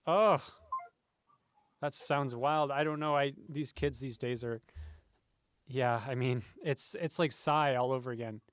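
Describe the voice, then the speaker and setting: A man playing a polite, folksy radio show host who talks in a halting, mildly bewildered way. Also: medium pitch, smooth, dry recording, close to an everyday voice. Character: folksy adult male late-night radio show host. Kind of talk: comedic radio show hosting.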